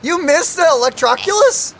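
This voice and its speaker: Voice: very high-pitched, lively intonation, normal speed, slight background noise. Playful game commentator. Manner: playful, joking reactions. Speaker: gameplay streamer. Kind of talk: casual gameplay commentary.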